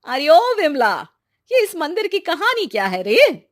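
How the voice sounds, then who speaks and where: A woman's voice: very high-pitched, huge pitch swings, measured pace, full character voice. A woman voicing a curious, chatty young village woman in a moral-story cartoon, with colloquial exclamations.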